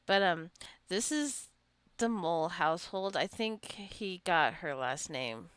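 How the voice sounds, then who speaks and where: Female gaming creator, slightly low and smooth, casual and rambling, talking as she plays. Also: expressive, slow, a bit bright. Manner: rambling, chatty asides. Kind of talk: casual gameplay commentary.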